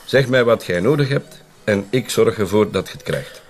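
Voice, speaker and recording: A man's voice: on the low side, expressive, normal speed. A man with a Flemish accent acting an affable, conspiratorial police colonel in a radio drama, often chuckling. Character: genial senior police colonel, confiding.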